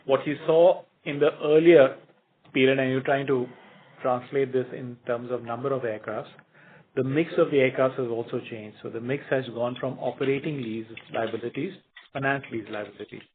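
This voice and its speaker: A man's voice: mid-range voice, fairly even intonation, normal speed. A man speaking as a finance executive, explaining an answer on an earnings conference call. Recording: noisy, narrow phone line with clicks.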